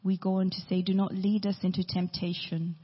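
A woman from Uganda teaching a sermon on prayer, slow and deliberate, lingering over each name she recites. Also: fairly low pitch, little pitch movement, breathy edge, in a large hall, muffled recording. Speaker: church speaker.

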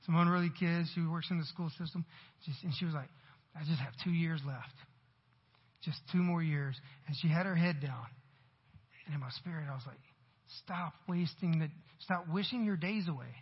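A man in his forties preaches in a husky, fairly high voice, chatting with the congregation one moment and pushing hard on a line the next. His sermon rings with a long church-hall reverb. The recording sounds muffled and lo-fi. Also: normal speed. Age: 40s. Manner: conversational preaching with rising emphatic bursts. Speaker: pastor. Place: church sanctuary.

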